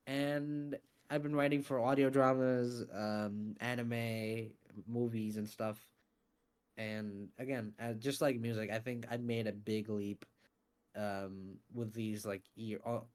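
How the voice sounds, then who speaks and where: A man being interviewed about his voice acting and scriptwriting, talking in a casual, animated way with lots of fillers and some swearing. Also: mid-range voice. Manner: animated, swears freely, many fillers and restarts. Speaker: interview guest, voice actor and scriptwriter.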